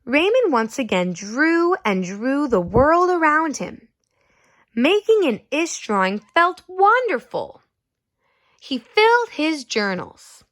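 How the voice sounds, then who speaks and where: Animated woman reading a storybook to children. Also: high voice, slow pace, dry recording. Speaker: teacher reading aloud to children. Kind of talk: expressive storybook read-aloud with questions to children.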